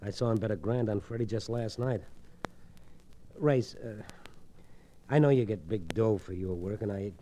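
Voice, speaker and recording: Mellow-voiced, downcast fight manager played by a man. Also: fairly low pitch, natural voice. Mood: uneasy. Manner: subdued, halting, slangy. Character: worried, downcast male boxing manager, working-class. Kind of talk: 1940s radio acting. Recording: faintly noisy old radio recording.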